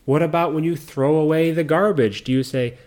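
Man, middle-aged, mixed American accent with a Southern trace, calm unhurried podcast teacher, repeats key points. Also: a little high. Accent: mixed American, faint Southern roots. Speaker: podcast host. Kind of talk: slow, clear teaching talk for English learners.

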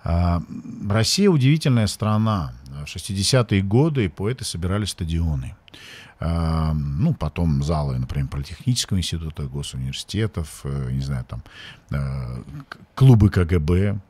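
A man hosting an interview, asking halting questions with many pauses and fillers. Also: sits low, animated, warm tone, husky, no echo.

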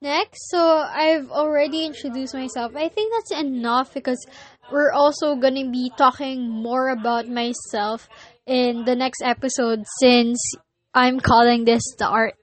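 A young woman with a Filipino accent, whose first language is Filipino, hosting a casual solo podcast and often pausing to search for words. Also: sits high. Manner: hesitant, searching for words with many pauses. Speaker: podcast host.

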